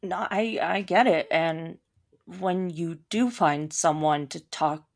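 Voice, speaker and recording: Gravelly-voiced woman with a Minnesota accent. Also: lowish voice, slow. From Minnesota, USA. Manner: thoughtful, with many ums and restarts. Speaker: podcast guest. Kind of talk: casual conversation.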